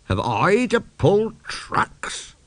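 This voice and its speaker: A man's voice: high voice, big pitch swings, extremely slow, a bit bright, no echo, pitched above the performer's own voice, exaggerated voice. A man voicing a proud, indignant old male steam engine who tells grumbling, emphatic stories in a children's audiobook.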